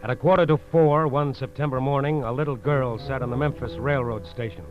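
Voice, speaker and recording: A male narrator reads in a solemn, suspenseful vintage radio style with a medium-pitched voice. Prominent music plays behind him, and the recording is dull. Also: brisk. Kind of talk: 1940s radio scripted dramatic narration. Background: dramatic music.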